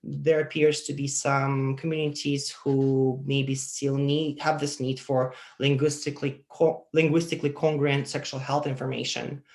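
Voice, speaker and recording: A man presents research at an academic conference in a middle-pitched voice with a gravelly edge. He speaks earnestly and at a measured pace, often pausing on ums and uhs between phrases. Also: level tone, darkish tone.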